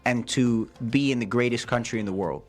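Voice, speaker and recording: Jokey man hosting a radio chat. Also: mid-range voice. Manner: jokey, quick interjections, leads the talk. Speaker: radio host.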